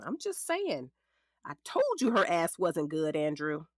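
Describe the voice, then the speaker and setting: A woman's voice: sits low, huge pitch swings, unhurried, no room sound, studio quality, exaggerated voice. An actress playing a frank, sassy middle-aged lawyer in a naturalistic audio drama, protective of the ex-husband she represents. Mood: teasing, sassy. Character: frank, sassy female lawyer, protective ex-wife of her client. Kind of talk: naturalistic scripted drama dialogue.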